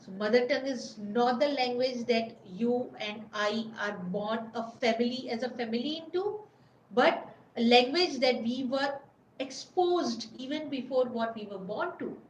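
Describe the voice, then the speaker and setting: High-pitched woman lecturing in a roomy space. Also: measured pace, slight background noise. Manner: repetitive, searching for words. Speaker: webinar presenter. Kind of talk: informal lecture. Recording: roomy recording with faint background ambience.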